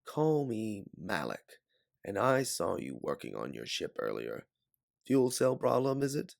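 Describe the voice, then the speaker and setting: A man's voice: lowish voice, huge pitch swings, a little slow, vocal fry, no room sound, clean studio sound, pitched above the performer's own voice, full character voice. A man voicing a mysterious raider who is eager to help as a guide, earnest and persuasive, in an audiobook. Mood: knowing, persuasive. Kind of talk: audiobook character voice.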